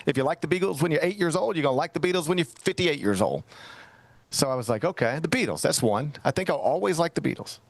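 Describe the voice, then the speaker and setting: A man's voice: on the high side, lively intonation, fast talker, vocal fry, no echo. A middle-aged man hosting a sports talk show, chatting casually and telling a rambling, self-mocking story. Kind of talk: casual radio-style chat.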